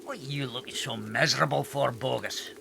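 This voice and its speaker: An actor playing a mean, bossy, stubborn farmer in an audiobook drama, scolding in a creaky, sharp voice. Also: male voice, medium pitch, brisk, background noise. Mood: scornful. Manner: scolding, domineering. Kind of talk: audiobook radio-play acting.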